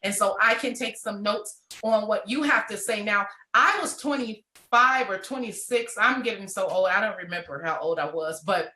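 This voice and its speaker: A woman around thirty, a network marketing entrepreneur, telling her success story in an animated, motivational way during a live conversation. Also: medium pitch, normal speed, reverberant.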